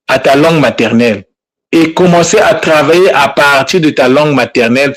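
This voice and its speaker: A man's voice: on the high side, low-quality recording. A man speaking informally and insistently to his online group as their language teacher, repeating himself and scolding now and then. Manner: insistent, admonishing, with frequent repetitions. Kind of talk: informal spoken address to followers.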